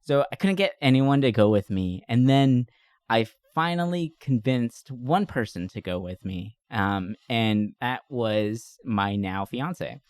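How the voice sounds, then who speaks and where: Male podcast co-host, mid-pitched, rambling and enthusiastic, giggles easily, casual review chat, very dry recording. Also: lively intonation, takes it slowly, darkish tone, clear, resonant tone, no room sound.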